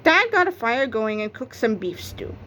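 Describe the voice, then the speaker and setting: A woman's voice: fairly high pitch, big pitch swings, moderate pace, fairly bright tone, slight background noise. A woman narrating a read-aloud of a children's comic novel, acting out its exclamations and sound effects. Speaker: book narrator.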